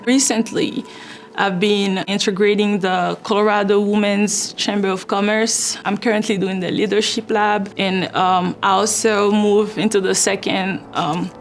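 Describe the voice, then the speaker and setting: Young ivorian woman w/ french west african accent, thoughtful chatty interview, faint music under it. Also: mid-range voice. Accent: French-speaking West African. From Ivory Coast. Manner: reflective, many "like" and "you know" fillers. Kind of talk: documentary interview.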